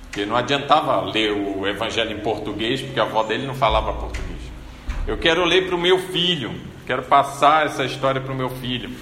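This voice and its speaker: A man preaching a sermon in a conversational storytelling manner, often tagging his points with a quick rhetorical question. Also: mid-range voice, pushed and bright, slight background noise. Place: church. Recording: echoey church hall with faint ambience.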